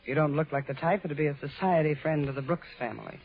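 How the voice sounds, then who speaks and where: Sultry nightclub dame on tinny radio. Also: female voice, low-pitched, fast talker. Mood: coy, cool. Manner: coy, teasing, evasive. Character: sultry, coolly flirtatious blonde nightclub dame. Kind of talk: 1940s radio film-noir acting. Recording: narrow, tinny 1940s radio recording.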